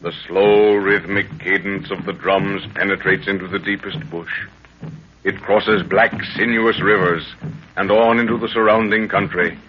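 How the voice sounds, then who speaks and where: A man narrating a jungle adventure serial as its announcer, in a portentous, deliberate, theatrical 1930s radio style. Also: deep voice, natural voice. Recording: muffled, noisy 1930s radio recording.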